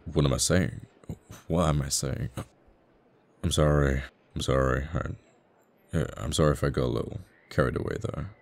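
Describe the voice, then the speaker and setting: A man playing a flirty, tender fighter pilot in a romantic ASMR roleplay, stammering bashfully and haltingly. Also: rumbling low, vocal fry, a little mellow. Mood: embarrassed, hopeful.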